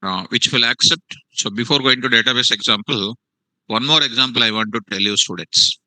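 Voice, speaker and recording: A male programming teacher, giving a live lecture to students in a classroom and online, repeating phrases slowly for dictation. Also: medium pitch, sharp tone, no room sound, low-quality recording. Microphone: online meeting.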